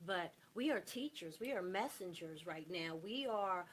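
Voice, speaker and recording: A woman's voice: on the low side, animated, unhurried. Emphatic woman in a noisy room. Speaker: stage play director, interview guest. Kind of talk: impassioned panel interview talk.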